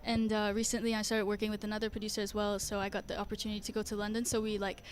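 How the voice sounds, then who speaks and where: A teenage girl from Singapore answers questions shyly, hesitating and filling pauses. Her voice stays flat and even. Faint ambience is heard behind her. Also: mid-range voice, a little hiss or hum. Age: teens. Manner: shy, hesitant, many fillers. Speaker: young singer guest. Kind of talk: casual interview answers.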